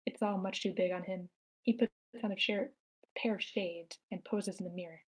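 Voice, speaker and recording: Woman, narrator, mid-range pitch, screenplay stage directions, table-read narration. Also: fairly even intonation, moderate pace, husky, rough recording.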